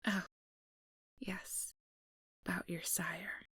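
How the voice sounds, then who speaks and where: Slow, breathy, sugary vampire schemer played by a woman. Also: fairly low pitch, flat delivery, sharp tone, no echo, clean studio sound, heavily acted. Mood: falsely sympathetic. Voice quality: breathy, whispery. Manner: slow, coaxing, condescendingly sweet. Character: ambitious, sugary, manipulative female vampire official. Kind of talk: ASMR roleplay, soft whispered monologue to listener.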